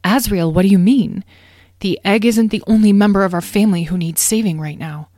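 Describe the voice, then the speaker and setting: A woman's voice: mid-range voice, fairly quick, dark tone, no room sound, clean studio sound, voice pushed up, natural voice. A woman voicing an anxious, protective mother dragon in a storybook, stern and pleading, with urgent, emphatic delivery. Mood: panicky, pleading.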